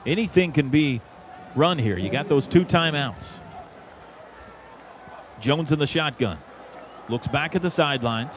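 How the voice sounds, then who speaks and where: Male American radio play-by-play caller, higher voice, clipped football calls, shouts on big plays, dull sound over loud stadium noise. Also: expressive, a little slow, long pauses. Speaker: play-by-play announcer.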